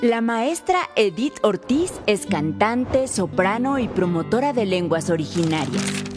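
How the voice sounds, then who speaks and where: A woman reading a scripted documentary voice-over in a measured, formal way. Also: mid-range voice, sing-song. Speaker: documentary narrator. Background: music.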